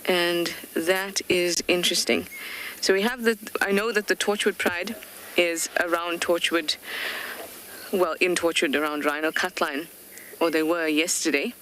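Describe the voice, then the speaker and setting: A woman's voice: fairly low pitch, fairly even intonation, measured pace, a bit bright, slight background noise, poor audio. A woman working as a safari guide, thinking aloud in chatty, curious live commentary from a vehicle in the bush. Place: game drive vehicle outdoors in the bush.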